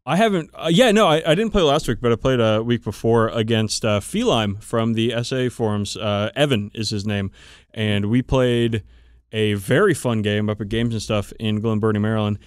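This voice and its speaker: Smooth-voiced man, casual and sweary. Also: on the low side, moderate pace, no room sound, studio quality. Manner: profane, animated storytelling about tabletop games. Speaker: podcast co-host. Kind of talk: casual chat between friends.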